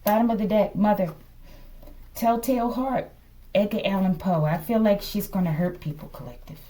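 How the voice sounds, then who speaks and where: Mellow-voiced American woman reading tarot, slightly distant. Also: mid-range voice, normal speed. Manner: musing, often checks in with okay. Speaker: tarot reader. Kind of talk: casual improvised card reading addressed to viewers.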